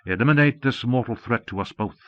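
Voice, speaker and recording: A man voicing an imperious, coldly possessive disembodied mastermind in an audiobook, with slow, emphatic, formal commands. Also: mid-range voice, smooth, close to an everyday voice. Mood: possessive, commanding. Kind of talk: audiobook character dialogue. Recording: dull lo-fi cassette audiobook.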